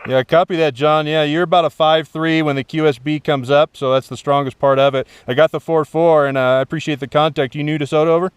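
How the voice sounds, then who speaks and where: A man's voice: a little high, steady tone, fast talker, few pauses, clear, resonant tone, dry recording. A man working as an amateur radio operator outdoors, giving call signs phonetically and repeating himself for clarity. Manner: repeats letters and numbers for clarity. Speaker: amateur radio operator making contacts from a summit. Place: outdoors on a rocky summit.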